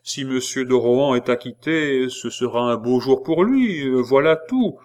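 A man's voice: mid-range voice, animated, unhurried, darkish tone, voice pushed up, close to an everyday voice. A man voicing a kindly, hesitant concierge in an audiobook, hemming as he soothes. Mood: hesitant soothing.

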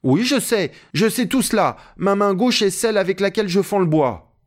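A naive, literal-minded manservant from a storybook, put on by a male narrator. He calls out timidly and plaintively, deferential, in a high and very lively pitch. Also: moderate pace. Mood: naive, puzzled.

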